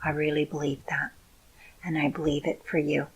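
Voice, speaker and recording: A middle-aged woman speaks to her viewers with slow, soothing encouragement. Her voice sits low and carries a gravelly, husky texture. She takes her time over every phrase. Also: completely flat delivery, rough recording. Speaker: video host. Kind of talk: heartfelt personal talk to viewers.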